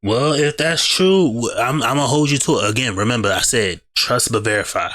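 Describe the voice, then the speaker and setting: A man's voice: mid-range voice, brisk, a bit bright, no echo, clean studio sound. A man hosting a reaction video, giving blunt, slangy commentary on clips and repeating "bruh" a lot. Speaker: reaction host. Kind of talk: casual commentary over clips.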